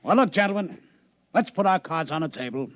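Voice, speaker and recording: A gruff casino boss from a 1950s radio play. His fairly high voice swings freely as he barks out slangy, impatient repetitions. The sound is dry and close. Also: lively intonation, brisk, a bit bright, no echo. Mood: brusque, bargaining. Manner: slangy, brusque, repeats himself impatiently. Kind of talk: 1950s radio drama acting.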